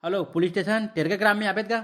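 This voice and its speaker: A woman voicing a folksy, easily flustered village headman, fatherly yet gruff, in a Chhattisgarhi comedy cartoon. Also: mid-range voice, steady tone, rapid-fire, fairly bright tone, close to an everyday voice. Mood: deferential.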